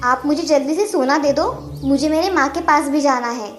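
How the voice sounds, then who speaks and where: A woman's voice: sits high, moderate pace, soft background music, close to an everyday voice. A woman voicing a greedy, arrogant, impatient young daughter in a moral-story cartoon. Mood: impatient, demanding.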